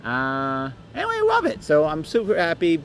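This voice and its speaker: A middle-aged man co-hosts a podcast, his voice a little worn and hoarse from a long week. He rambles along, restarting sentences and throwing in jokes. There is a faint hum of noise behind him. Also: fairly high pitch, wildly swooping pitch, normal speed. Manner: rambling, self-interrupting and joking. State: voice going hoarse. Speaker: podcast co-host. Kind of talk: casual podcast chat. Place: busy conference venue.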